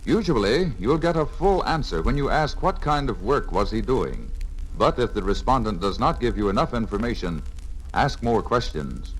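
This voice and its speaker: Animated American man narrating a vintage training film. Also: mid-range voice, normal speed, rough recording. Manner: clear, methodical, instructive. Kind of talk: scripted instructional narration, 1950 filmstrip. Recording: vintage 1950 record, faint music.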